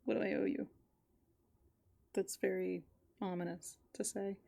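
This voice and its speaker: Creaky-voiced woman musing in casual ASMR. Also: medium pitch, steady tone, measured pace, mellow, dry recording. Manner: musing, hesitant, whimsical. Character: playful raccoon character. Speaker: ASMR roleplay streamer. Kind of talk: soft, casual ASMR roleplay chat.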